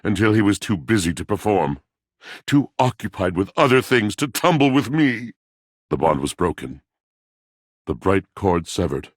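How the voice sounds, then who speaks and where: A man voicing a brooding, lovelorn, melodramatic video game character, speaking in a grandiose, poetic, mournful way with a gravelly voice. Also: lowish voice, very animated, normal speed, no room sound, clean studio sound. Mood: bitter, wounded.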